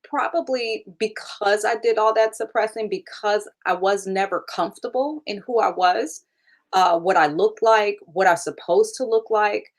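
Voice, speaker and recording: Interview guest: woman, 30s, from Germany, first language German, candid and easygoing, laughs a lot, everyday mid pitch. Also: normal speed. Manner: candid, laughs often, many fillers. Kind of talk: casual interview.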